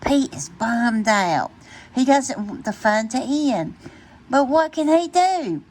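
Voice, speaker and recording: A woman reading a children's bedtime story aloud in an expressive, deliberate way. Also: fairly high pitch. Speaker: storytime reader.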